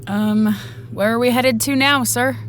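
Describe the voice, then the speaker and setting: A woman's voice: fairly high pitch, steady tone, a little slow, a little hiss or hum, natural voice. An actress playing a weary, caring young woman trucker in an audiobook drama, speaking in a hesitant, reassuring way. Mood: tentative.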